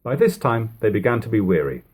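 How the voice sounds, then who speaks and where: A man reading an old literary narrative aloud expressively, in measured phrases with emphatic stresses and a husky voice. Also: fairly low pitch, very animated, a little mellow. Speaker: audiobook reader. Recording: homemade lo-fi reading.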